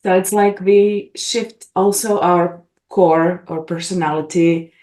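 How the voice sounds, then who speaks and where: A middle-aged woman from Bosnia and Herzegovina, a gentle, reflective guest speaking English as an over a video call. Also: fairly low pitch, steady tone, slow pace. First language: Bosnian and Croatian. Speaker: regression facilitator, guest. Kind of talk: casual interview chat. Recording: echoey room.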